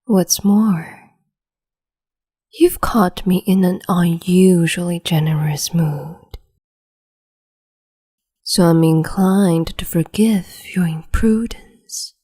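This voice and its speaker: A woman voicing a cruel, capricious empress in a whispered roleplay, slow, mocking and condescending, close to the mic. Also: lowish voice, dark tone, full character voice. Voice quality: whispery, breathy.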